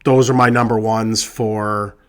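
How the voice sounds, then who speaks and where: A man, a real estate agent giving casual, reassuring advice to camera, hoarse from a cold and chatty with lots of fillers. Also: lowish voice, level tone, unhurried, fairly bright tone. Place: indoors with a colleague present.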